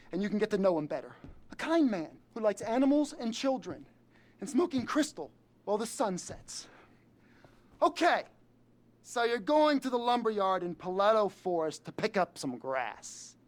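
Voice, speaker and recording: A man's voice: high-pitched, sing-song, normal speed. A man playing a jittery, fawning criminal henchman in a video game, rambling nervously and correcting himself as he goes. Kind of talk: video game cutscene acting.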